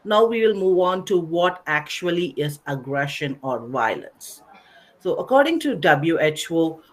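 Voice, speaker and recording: A woman presenting a slide-based nursing lecture, explaining in short phrases with pauses in a didactic way. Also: on the low side. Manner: didactic, recapping, pausing between short phrases. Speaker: webinar presenter, nursing educator.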